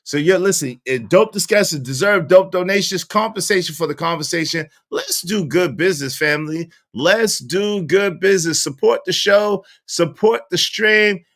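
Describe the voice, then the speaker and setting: A man's voice: high-pitched, moderate pace, hardly any pauses, a bit bright. A man in his forties hosting a live stream, chatting casually in slang and reading viewer comments aloud. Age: 40s.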